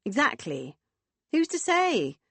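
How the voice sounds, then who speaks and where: A woman voicing a hearty, jolly middle-aged woman in an audiobook, with a booming, brisk manner. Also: huge pitch swings, a bit bright, slightly rough, no room sound, exaggerated voice. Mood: indignant, amused. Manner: booming, brisk, jolly posh turns of phrase.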